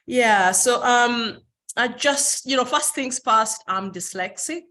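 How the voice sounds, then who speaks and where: A woman from Uganda whose first language is Luganda, giving an academic presentation over a video call, polite and pausing often with fillers. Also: a little high, pushed and bright. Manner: polite, with frequent fillers and pauses. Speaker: conference presenter.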